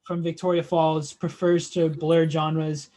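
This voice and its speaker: A man's voice: a little high, level tone, a little slow, mellow. A man hosting an interview over a video call, easygoing and full of fillers. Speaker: interview host. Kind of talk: casual interview chat.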